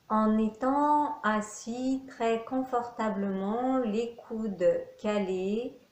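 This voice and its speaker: A woman yoga nidra teacher giving guided meditation instructions in measured phrases with pauses. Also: on the high side, darkish tone. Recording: echoey room.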